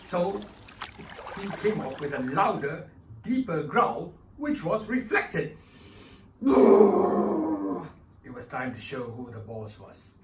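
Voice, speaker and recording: Animated, high-voiced male storyteller. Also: slow, pauses often, a little hiss or hum. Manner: expressive, speaks directly to the audience. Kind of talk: dramatised storytelling for children. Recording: roomy, distant mic with faint music.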